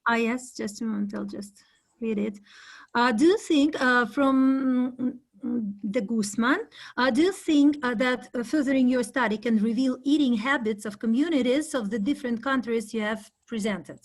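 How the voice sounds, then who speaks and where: Woman, panel moderator, polite and formal, slightly high voice, video call. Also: normal speed. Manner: polite, formal, gently prompting. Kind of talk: chairing an academic Q&A.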